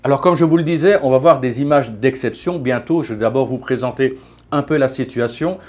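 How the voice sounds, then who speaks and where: A man's voice: medium pitch, fairly quick. A man, talking casually to camera in a vlog, hesitant, with many fillers and restarts. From Belgium. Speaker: vlogger.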